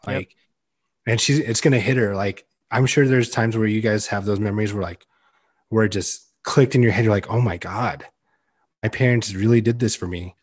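Loud, earnest young man, casual podcast talk. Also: fairly low pitch, normal speed, slightly rough. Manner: loud, earnest, heavy use of "like". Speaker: podcast co-host. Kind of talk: casual chat.

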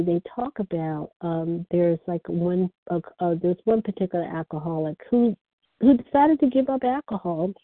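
A soft-spoken woman telling her personal recovery story as the speaker at a phone meeting. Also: on the high side, breathy edge, no room sound. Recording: phone line.

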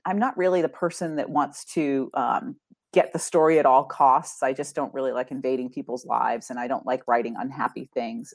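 Low-voiced chatty woman, middle-aged. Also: darkish tone, dry recording, studio quality. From Massachusetts. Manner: upbeat and chatty, laughs easily. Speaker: author and ghostwriter. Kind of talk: casual interview chat.

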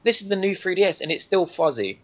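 A man's voice: high-pitched, lively intonation, quick pace. A man chatting casually on a gaming podcast, rambling with lots of restarts and laughing at his own jokes. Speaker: podcast guest reviewing a game. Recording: lo-fi podcast audio.

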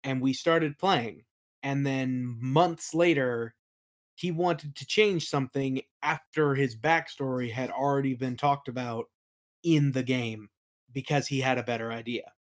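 Podcast guest, medium pitch, casual conversation, slightly hesitant, self-softening. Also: unhurried. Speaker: interview guest, creator of a fantasy audio play. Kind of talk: casual interview chat about worldbuilding.

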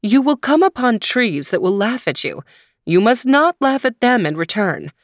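A woman's voice: a little high, sing-song, moderate pace, no echo, clean studio sound, pitched above the performer's own voice. A woman voicing an old woman in a folktale, cautioning and instructing solemnly. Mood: warning, solemn.

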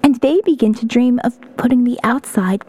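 Woman playing a wry, mellow-voiced survivor diarist. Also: a little high, normal speed, natural voice. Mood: somber, reflective. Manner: wry, cynical, reflective. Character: Jill, weary sardonic woman survivor keeping a diary. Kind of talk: first-person audio novel narration.

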